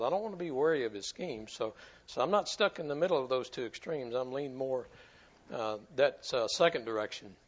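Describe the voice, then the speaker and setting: A man's voice: a little high, dull audio. A man preaching a sermon to his church in a folksy, repetitive way, often stumbling over his words. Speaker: pastor.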